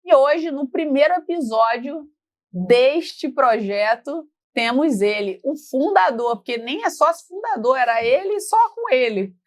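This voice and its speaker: A woman's voice: high voice, expressive, unhurried. A young Brazilian woman hosting a casual videocast interview in a chatty, informal and teasing way. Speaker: videocast host.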